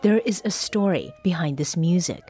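A woman hosting a public radio interview program, reading a measured scripted introduction. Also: fairly low pitch, animated, warm tone, soft background music. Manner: measured scripted introduction, then brief listening responses.

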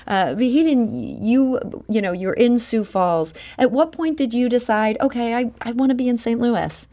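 A woman's voice: fairly high pitch, moderate pace, dark tone. A woman hosting an interview, asking her guests questions in a conversational way. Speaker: host. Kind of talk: radio interview.

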